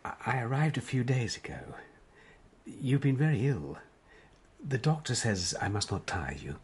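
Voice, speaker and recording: An actor playing a gentle, loyal, bookish friend at a sickbed, speaking softly and soothingly in a radio drama. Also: male voice, mid-range voice, animated, slightly rough. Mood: sorrowful, gentle. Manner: soft, soothing, measured bedside delivery.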